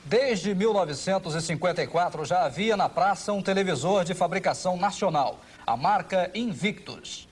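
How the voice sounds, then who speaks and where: Husky, high-pitched Brazilian male narrator. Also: animated, moderate pace, hardly any pauses. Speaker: documentary narrator. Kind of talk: scripted narration.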